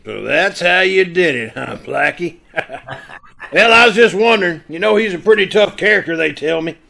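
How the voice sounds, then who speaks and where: An actor playing a gruff, curious police inspector with a gravelly voice, in 1940s radio detective style. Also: male voice, high voice, animated, moderate pace, bright, forceful tone, full character voice. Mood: gruff, inquisitive.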